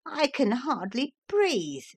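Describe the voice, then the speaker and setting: A woman's voice: sits high, huge pitch swings, breathy edge, voice pushed up, exaggerated voice. A woman voicing a small, sleepy male dormouse with a sulky, complaining manner in a storybook reading. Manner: slow, complaining.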